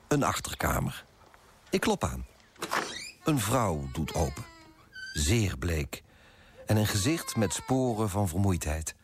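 An actor playing a fussy, self-righteous coffee broker in a radio play, pompous and self-important, with a husky voice. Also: male voice, deep voice, slight background noise, heavily acted. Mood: curt, disapproving. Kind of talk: first-person radio drama narration and dialogue.

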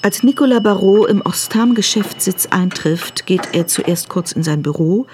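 A woman's voice: medium pitch, normal speed, noisy background. A woman narrating a scripted radio drama in a matter-of-fact manner.

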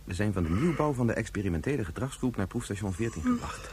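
Awkward rational dad, very husky low voice, lively intonation, hesitant formal phrasing, radio drama. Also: male voice, fast talker, exaggerated voice. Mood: explaining. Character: awkward, earnest, stiffly rational father. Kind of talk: Dutch radio play acting.

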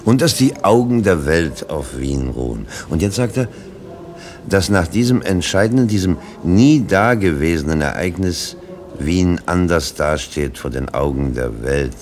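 A man's voice: sits low, lively intonation, measured pace. A man playing a timid, placating bystander in a German radio play, speaking with cautious hesitation. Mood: dutifully reporting. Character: timid, placating bystander relaying a public speech. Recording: noisy radio play, ambience behind.

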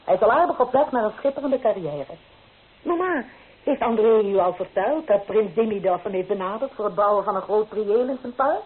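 A woman's voice: medium pitch, animated, brisk, lightly acted. An actress playing a proud, genteel middle-aged provincial society lady in a Dutch radio drama. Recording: tinny old radio play recording.

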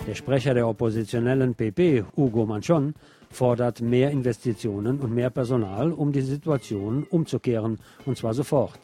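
A man's voice: mid-range voice, normal speed, mellow. A man presenting scripted local news in German, with a wry, opinionated streak. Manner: sardonic, opinionated asides.

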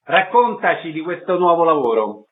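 A man's voice: on the high side, very animated, moderate pace. A man hosting a radio show, introducing and questioning in an interview style. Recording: narrow phone-like radio sound.

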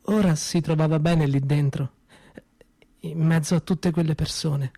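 A man performs a spoken theatre monologue, pausing for weight between phrases. His voice sits a bit high for a man, yet the tone stays soft and mellow rather than bright. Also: fairly even intonation, gravelly, no echo, clean studio sound. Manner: measured, grave storytelling with dramatic pauses. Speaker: storyteller.

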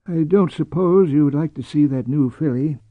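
Audiobook role: reserved, crusty elderly horse breeder, played by a male reader, dry, unhurried, slightly muffled. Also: fairly high pitch, creaky, husky, no room sound, pitched above the performer's own voice, full character voice. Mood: neutral, flat. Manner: dry, flat, clipped. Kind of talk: audiobook character voice.

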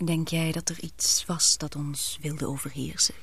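A woman playing an emotional young English daughter and fiancée in a radio drama, earnest and expressive, with a breathy voice. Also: low voice, measured pace, a little hiss or hum, heavily acted. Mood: anxious, hopeful.

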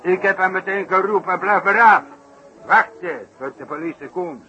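An actor playing a self-important, wordy circus clown in a 1960s radio play, repeating himself for emphasis. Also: male voice, sits high, moderate pace, pushed and bright. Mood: eager. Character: excitable, boastful old-fashioned circus clown with foreign flourishes. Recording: dull, noisy 1960s radio recording.

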